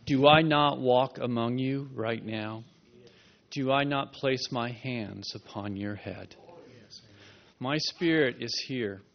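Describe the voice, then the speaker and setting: Slow gravelly man prophesying. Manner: solemn, repeating questions and promises. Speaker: prophetic speaker. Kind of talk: prophecy in a church meeting. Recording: dull low-fidelity recording.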